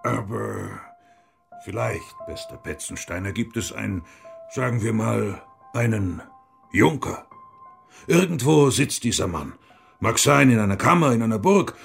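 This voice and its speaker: An actor in a German radio play playing a defiant, wry monk and church reformer, gravelly and musing, halting for effect. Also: male voice, fairly low pitch, lively intonation, soft background music, exaggerated voice. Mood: sly, musing.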